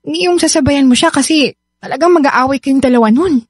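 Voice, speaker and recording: A woman's voice: high-pitched, fast, no room sound, studio quality, close to an everyday voice. A Filipino-accented woman acting in a radio drama as a patient, concerned friend giving sensible advice. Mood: concerned, advising.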